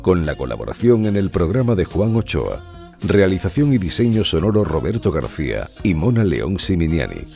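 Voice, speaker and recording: A man's voice: deep voice, sing-song, normal speed, vocal fry, dark tone, soft background music. A man reading scripted credits as the announcer of an audio drama.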